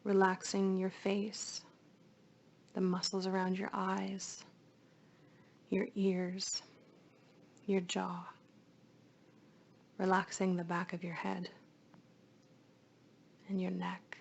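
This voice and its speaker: A woman leading a guided meditation in a soothing, hushed voice, with phrases often trailing into breath. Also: mid-range voice, completely flat delivery, slow pace, creaky, pauses often.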